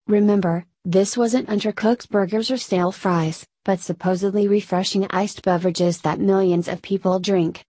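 A woman reading a news article aloud in a steady, scripted delivery. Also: medium pitch, moderate pace, few pauses, no room sound. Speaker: news reader.

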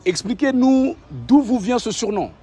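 A man's voice: extremely high pitch, sing-song, unhurried, dark tone, distant mic, faint music behind. A young man asking polite, formal interview questions as the interviewer, speaking outdoors. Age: young adult. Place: outdoors by a hotel pool.

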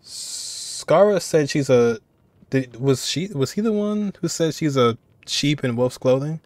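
A man giving casual, halting commentary as a streamer, often trailing off as he muses. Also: a little high, expressive, darkish tone, no room sound. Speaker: streamer reacting to game voice lines.

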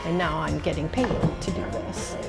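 A middle-aged woman job coach giving an energetic, emphatic pep talk in a documentary interview. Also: low-pitched, normal speed, vocal fry, loud music behind the voice.

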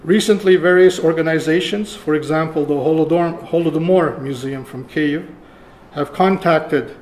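Halting older man in an echoey room. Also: fairly high pitch, a little mellow. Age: older, about 70. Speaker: keynote speaker, archivist. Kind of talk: conference lecture. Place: lecture room, indoors. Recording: roomy echo, faint room noise.

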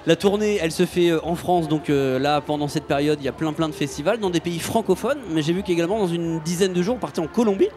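A man's voice: on the high side, fast, few pauses, a little mellow, faint music behind. A middle-aged man hosting a festival radio interview, familiar and teasing in his questions. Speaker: radio host. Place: festival radio booth in front of a sponsor backdrop. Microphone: handheld radio mic, wearing headphones.

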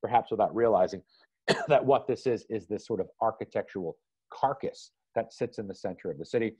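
A man giving a relaxed online art history lecture, loose and conversational, with frequent "you know" fillers. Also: lowish voice, brisk, slightly rough, dry recording. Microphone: video call. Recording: dull lo-fi video call audio.

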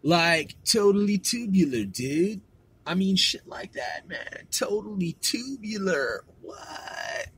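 A man's voice: high-pitched, big pitch swings, slow, fairly bright tone, slight background noise. A middle-aged man with a West Coast accent, hosting his own podcast in a rambling, slangy, casual monologue. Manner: rambling, laid-back slangy talk with lots of swearing and fillers. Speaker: podcast host.

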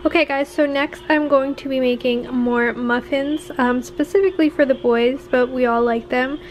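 A woman's voice: high voice, fairly even intonation, normal speed. A young woman chatting casually to viewers as she talks through family recipes in a voice-over. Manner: chatty, talking straight to viewers with fillers. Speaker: family vlogger.

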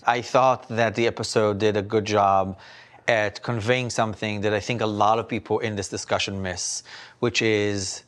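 A man's voice: fairly low pitch, fairly even intonation, moderate pace. A middle-aged man from Belgium, a rabbi, speaking thoughtfully in a panel discussion and often checking in with "right?". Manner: thoughtful, often adds "right?" to his points. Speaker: rabbi and spiritual counselor. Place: indoor studio set with plants.

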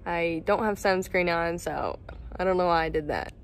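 A woman's voice: lowish voice, normal speed, creaky, a little hiss or hum. A woman in her twenties chatting casually to a phone camera held at arm's length, upbeat and rambling with asides. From Arizona. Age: 20s. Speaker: vlogger. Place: car, home and outdoor trail.

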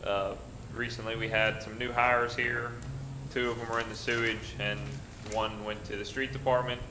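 A middle-aged man giving a plain, halting department report at a city council meeting, with many pauses. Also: mid-range voice, little pitch movement, noisy background. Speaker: council member. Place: council chamber at a dais. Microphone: desk mic. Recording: roomy council chamber.

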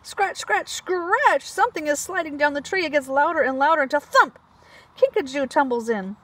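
Preschool teacher lady reading a picture book, high voice, super swoopy and expressive. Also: moderate pace. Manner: animated, expressive storytelling for young children. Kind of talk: children's picture book read-aloud with asides.

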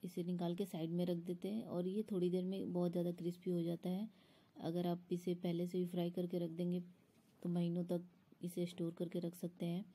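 Low, husky woman giving cooking instructions. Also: monotone, a little fast, mellow. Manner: instructional, explanatory. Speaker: home cook hosting a recipe channel. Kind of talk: step-by-step cooking tutorial voice-over.

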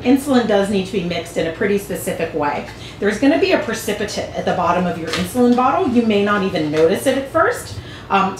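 Woman vet explaining in an echoey room. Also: medium pitch. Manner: clear, patient explaining with hand gestures. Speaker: veterinarian. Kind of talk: instructional demonstration. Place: veterinary exam room, indoors. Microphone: far camera. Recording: echoey clinic room with faint hum.

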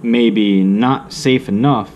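A man reading a children's story aloud with measured, theatrical expression. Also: mid-range voice, darkish tone, slight background noise.

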